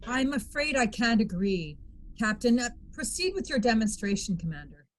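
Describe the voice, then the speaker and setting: A woman reading the part of a formal, even-handed military judge in a sci-fi courtroom table read. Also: a little high, moderate pace, a little hiss or hum. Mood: authoritative.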